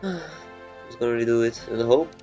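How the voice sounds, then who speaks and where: A man thinking aloud in a halting, muttering way while playing a video game. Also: medium pitch, mellow, muffled recording. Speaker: gamer. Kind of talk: gameplay commentary. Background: game music.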